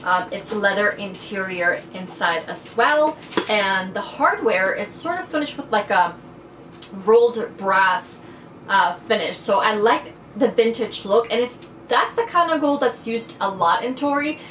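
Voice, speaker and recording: A woman's voice: mid-range voice, lively intonation, a little slow, fairly bright tone. Chatty Farsi-speaking woman, echoey room. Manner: enthusiastic, chatty, frequent fillers. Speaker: fashion and beauty vlogger. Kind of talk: casual haul video. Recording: echoey room, faint background noise.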